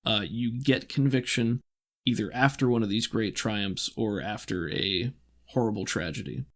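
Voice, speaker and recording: A man with a gravelly voice, running a tabletop roleplaying game as game master, telling the story and explaining in a deliberate way. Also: lowish voice, fairly bright tone. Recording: voice over background music.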